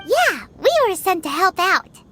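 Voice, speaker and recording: A woman voicing a tiny, chirpy, childlike fairy companion in a video game, chatty and blunt, with a squeaky voice. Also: big pitch swings, unhurried, bright, forceful tone, exaggerated voice. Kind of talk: video game character acting. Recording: music behind the voice.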